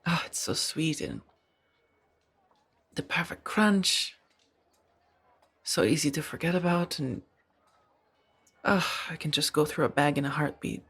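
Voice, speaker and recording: Woman acting as kind conflicted ex warrior monk farm lady, asmr roleplay, soft n breathy, bit creaky, halting. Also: sits low, lively intonation, exaggerated voice. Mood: playful teasing. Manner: hesitant, soft-spoken, earnest. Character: gentle former Jedi woman turned farmer, kind, conflicted.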